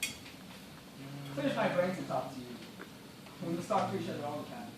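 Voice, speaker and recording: A man acting a shipwrecked gentleman in a stage play, resigned and bitter, speaking in a formal, measured, theatrical way. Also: high-pitched, expressive. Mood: grave, reasoning. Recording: distant, echoey, noisy live theatre recording.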